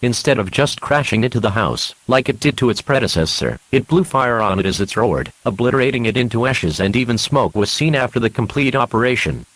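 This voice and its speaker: Radio drama newsreader: man as an earnest male alert announcer, mid-range voice, measured formal delivery, no room echo. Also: mid-range voice, animated, fairly bright tone. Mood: grave, formal. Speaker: news announcer. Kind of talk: scripted emergency alert broadcast.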